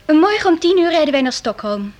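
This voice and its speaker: A woman playing a spirited young queen in a radio play, imperious yet affectionate, with a breathy voice. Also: high voice, very animated, a little fast, darkish tone, dry recording. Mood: imperious, playful.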